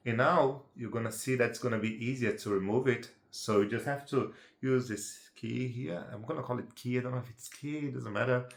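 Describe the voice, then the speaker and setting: A man's voice: medium pitch, creaky, a bit bright, slight background noise. A Brazilian man with a Portuguese accent explains things in English, his second language, in an easygoing, chatty way, often tossing "right?" or "yeah?" to the viewer. His voice sits in a middling range. The recording has an echoey, roomy sound. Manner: chatty, checks in with tag questions like "right?" and "yeah?". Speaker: amateur how-to presenter. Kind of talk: casual unedited tutorial.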